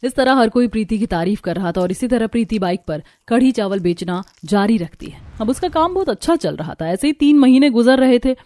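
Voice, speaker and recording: A woman's voice: fairly high pitch, animated, brisk, hardly any pauses, dark tone, no echo. A woman reading a scripted story as its narrator.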